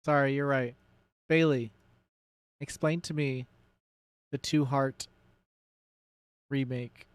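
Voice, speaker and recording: A man's voice: mid-range voice, fairly even intonation, takes it slowly, pauses often, clean tone, no echo. Casual, rambling male game streamer, dry sound. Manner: rambling, thinks aloud and swears casually; self-described nerdy enthusiast. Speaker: game streamer hosting a let's play. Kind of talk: casual live gaming commentary and chat.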